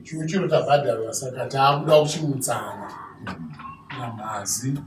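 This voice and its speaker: An actor with a Zimbabwean accent playing a family go-between who formally presents relatives in a dramatized ceremony. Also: male voice, medium pitch, normal speed, natural voice. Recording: roomy distant mic, clear background noise.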